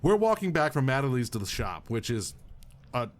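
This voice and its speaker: A man's voice: mid-range voice, big pitch swings, normal speed. A man in his late twenties co-hosting a podcast, telling rambling, profane anecdotes with restarts. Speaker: podcast co-host. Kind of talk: casual profane chat.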